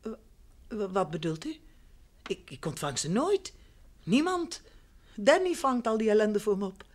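A woman's voice: mid-range voice, sing-song, unhurried, a little mellow. Radio drama role: refined, evasive woman, courteous yet emphatic, faltering when flustered, medium register. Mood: indignant, baffled. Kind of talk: Dutch radio drama acting.

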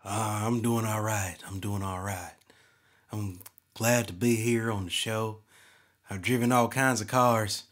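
A man's voice: lowish voice, steady tone, a little slow, fairly bright tone. A man playing a boastful, folksy race car driver turned instructor in a comedy radio interview.

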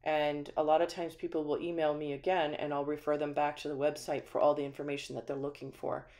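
A woman with a low voice gives a friendly home tour, talking casually and often pausing with ums. She walks through a small empty house, the camera held in hand. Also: flat delivery, darkish tone. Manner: friendly, chatty, frequent ums. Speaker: manufactured home community manager. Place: empty small house, indoors, then voice-over.

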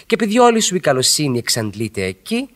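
A man's voice: fairly high pitch, huge pitch swings. Teasing married man, swooping intonation. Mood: ironic, teasing. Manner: theatrical, articulate, teasing. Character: witty married man flirting by argument, teasing and ironic. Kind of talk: Greek radio theatre acting.

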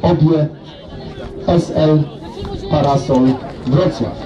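A man reading out race results and club names as announcer at an outdoor awards ceremony, brisk and matter-of-fact. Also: fairly high pitch, steady tone, dull audio. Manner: brisk, lists names and clubs. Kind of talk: reading results over loudspeaker. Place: outdoor sports field. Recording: echoey loudspeaker from far off, crowd.

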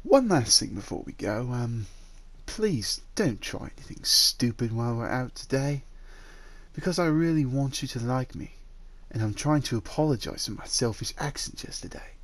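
Male actor as possessive dragon suitor in human form, mid-pitched, gently coaxing, halting, for roleplay audio drama. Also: expressive, close to an everyday voice. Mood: tender, apologetic. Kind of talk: roleplay ASMR audio drama, addressing the listener.

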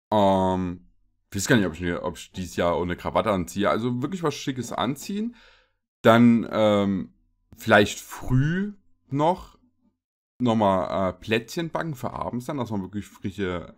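A young man streaming a casual reaction to a video, rambling and chatty with jokey asides. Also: lowish voice.

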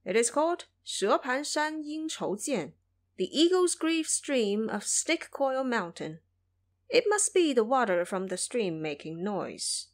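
A brash monkey disciple with magic powers acted out by a woman in an audio drama. He is boastful and short of patience, his voice riding high and swinging widely in pitch. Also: big pitch swings, slow. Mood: alert, reporting. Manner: brash, impatient, ranting.

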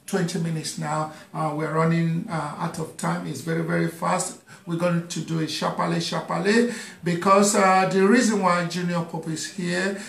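A man's voice: sits high, vocal fry, reverberant. A middle-aged man hosting a live radio interview in a studio, chatty, repeating his words and laughing often. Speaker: radio show host. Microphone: studio mic on boom arm.

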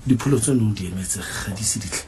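A man's voice: lowish voice, fast, darkish tone, a little hiss or hum, lightly acted. An actor playing an exasperated, pleading husband at odds with his wife in a radio drama. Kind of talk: Setswana radio drama acting.

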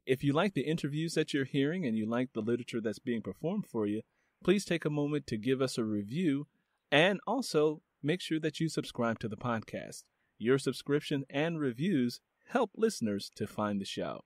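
A man's voice: mid-range voice, no room sound, studio quality. A man hosting a book podcast, chatting in a friendly, rambling way with fillers and restarts. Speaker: podcast host. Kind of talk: casual interview chat.

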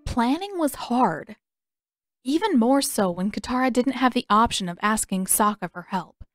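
High-voiced woman narrating a story. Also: animated, normal speed, no room sound, soft background music. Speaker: podfic narrator. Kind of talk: scripted audiobook narration of fan fiction.

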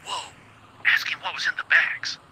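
A man's voice: normal speed, very noisy, natural voice. A man playing a meddling, easily astonished radio producer in a video game radio drama. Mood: excited, bossy. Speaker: radio producer screening calls.

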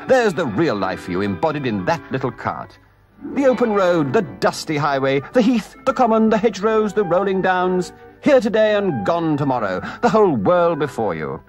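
A man voicing a boastful, excitable toad gentleman from a storybook, with a theatrical, effusive and pompous manner. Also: medium pitch, moderate pace, soft background music. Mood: triumphant. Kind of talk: storybook character voice.

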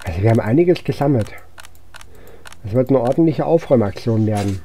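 Man, deeply mellow dark timbre, average pitch, relaxed gaming commentary, musing to himself. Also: brisk, slight background noise. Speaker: gaming channel host. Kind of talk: casual let's play commentary.

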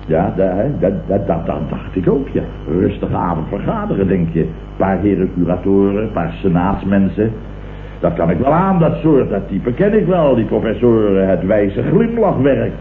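Blustering Dutch boss, tinny radio. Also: male voice, lowish voice, big pitch swings, moderate pace. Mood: grumbling, smug. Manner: grumbling, ranting, sarcastic, colloquial. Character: blustering, self-important, indignant male building contractor and boss. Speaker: actor in a radio comedy serial. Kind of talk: 1970s Dutch radio comedy acting. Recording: tinny, hissy old radio recording, roomy.

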